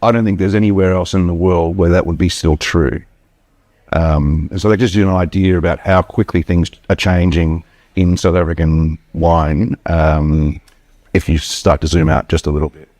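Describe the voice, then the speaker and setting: Deep aussie guy rambling on, lots of ums, dry close sound. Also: a little mellow, dry recording. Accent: Australian, with slight Afrikaans and southern British touches. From Australia. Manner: rambling, many ums and restarts. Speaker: wine importer guest. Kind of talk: casual interview chat. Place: hotel room.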